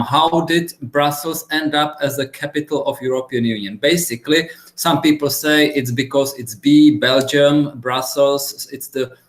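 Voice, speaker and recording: A man from the Czech Republic talks through a webinar presentation over a video call. He is relaxed and chatty, with lots of filler pauses, and his voice sits at a middle pitch. The room around him rings with echo. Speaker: guest speaker.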